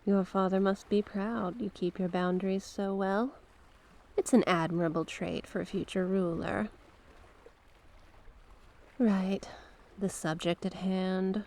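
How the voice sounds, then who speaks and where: A woman as a slow, coaxing sea witch. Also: mid-range voice, steady tone, warm tone. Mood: smooth, courteous. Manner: coaxing, genteel, terms of endearment. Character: mysterious sea witch, coaxing, sly, courteous monstrous cave dweller. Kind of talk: ASMR roleplay.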